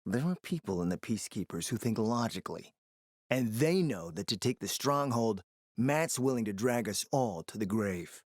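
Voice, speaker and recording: A man's voice: fairly low pitch, expressive, normal speed, darkish tone, no room sound, studio quality. An actor playing a scheming, foul-mouthed man in a post-apocalyptic video game, confident and calculating, with an emphatic, sardonic delivery. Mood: grave warning. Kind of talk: dramatic video game dialogue.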